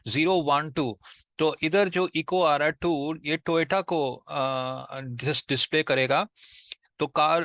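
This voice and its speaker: A man with an Indian accent giving an informal step-by-step tutorial as a programming tutor, repeating himself haltingly and mixing in English technical terms. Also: fairly high pitch, pushed and bright, no echo.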